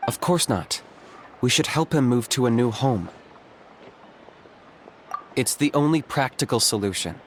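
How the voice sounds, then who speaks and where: An actor playing a calm, practical young hunter who speaks in a level, matter-of-fact way. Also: male voice, mid-range voice, unhurried, pauses often, a little hiss or hum, close to an everyday voice. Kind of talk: video game voice acting.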